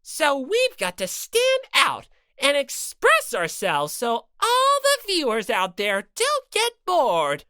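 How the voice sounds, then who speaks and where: Smug, mischievous bear mascot. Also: female voice, sits high, wildly swooping pitch, takes it slowly, sharp tone, dry recording, studio quality, voice pushed up, full character voice. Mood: showy, scheming. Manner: taunting, theatrical, mock-sweet. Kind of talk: dramatized audiobook character reading.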